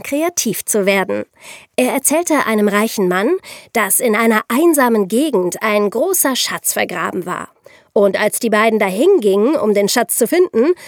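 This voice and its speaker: A woman's voice: fairly high pitch, no room sound, clean studio sound. A woman co-hosting a podcast, telling history in a conversational, explanatory way with informal asides. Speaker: podcast co-host.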